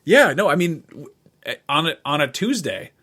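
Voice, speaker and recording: A man's voice: on the high side, very animated, pushed and bright, breathy edge. Middle-aged man thinking aloud. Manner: halting, circling, repeats phrases while thinking aloud. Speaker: podcast co-host. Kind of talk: casual conversational podcast chat.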